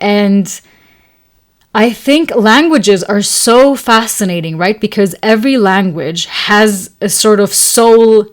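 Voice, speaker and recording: Chatty woman, Serbo-Croatian native speaker. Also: medium pitch. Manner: chatty, many fillers and restarts, laughs often. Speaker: astrology podcast host. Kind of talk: casual storytelling monologue.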